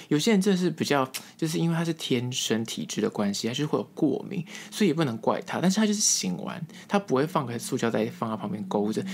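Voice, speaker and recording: Male podcast host, Taiwanese Mandarin accent, mid-pitched voice, chatty comic storytelling with stretched-out emphasis. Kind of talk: casual humorous solo podcast monologue.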